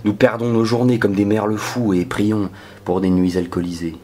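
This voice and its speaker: A man performing a dramatic spoken-word poetry reading in a solemn, measured manner that can sink toward a whisper. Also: lowish voice, flat delivery, a little mellow, a little hiss or hum. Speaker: poetry reader.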